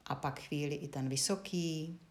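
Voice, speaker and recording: A woman with a fairly low voice leads a guided movement lesson. She speaks as an instructor, giving short directions and leaving frequent pauses between them. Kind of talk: guided Feldenkrais body-awareness lesson, spoken instructions.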